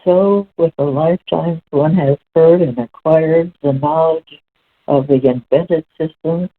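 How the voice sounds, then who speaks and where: A woman reading spiritual text aloud as host, in a measured, deliberate way with halting phrasing. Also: low-pitched. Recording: muffled, very narrow lo-fi sound.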